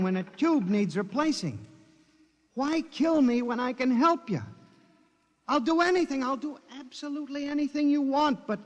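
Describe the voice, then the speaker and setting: An actor playing a frantic, haunted computer operator in an intense 1950s radio drama style. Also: male voice, very high-pitched, no room sound, dull audio. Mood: desperate pleading. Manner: melodramatic, intense. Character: frantic, haunted male computer operator telling his nightmare.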